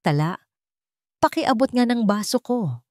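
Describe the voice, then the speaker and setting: A woman's voice: fairly high pitch, expressive, a little slow, a little mellow, no room sound, studio quality, voice pushed up. A woman voicing a scheming young fiancée in a story, sugary and falsely meek. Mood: casually commanding. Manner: sugary, feigned meekness.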